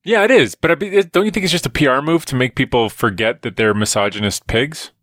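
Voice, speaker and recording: A man's voice: medium pitch, brisk, fairly bright tone, dry recording. A man, a scientist guest on a podcast, chatting casually with dry wit and rambling stories over a remote call. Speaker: guest, evolutionary biologist. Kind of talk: casual chat.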